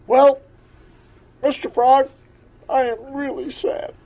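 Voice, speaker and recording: A man doing a high, timid young unicorn. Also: slow, lo-fi sound, heavily acted. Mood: sad. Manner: high, lively and childlike. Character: sad young male unicorn, gentle and timid.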